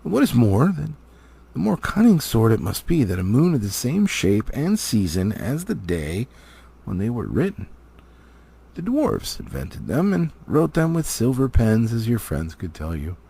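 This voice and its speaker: A man's voice: mid-range voice, huge pitch swings, a little slow, gravelly, mellow, husky, full character voice. A man voicing a stately, learned elf lord from a storybook, solemn and declamatory in his delivery. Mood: instructive. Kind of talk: storybook character reading.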